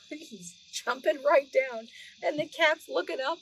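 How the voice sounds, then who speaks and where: Female storyteller, middle-aged, high voice, expressive children's read-aloud with drawn-out words and chatty asides; faint background noise. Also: gravelly, rough recording.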